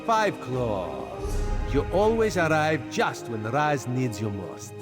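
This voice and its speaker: Sly catfolk agent, playful, theatrical, very lively intonation, video game dialogue, light music behind. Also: male voice, medium pitch, slow pace. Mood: playful, wry. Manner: theatrical, refers to himself in third person. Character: sly catlike male agent, playful, speaks in third person. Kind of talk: video game character acting.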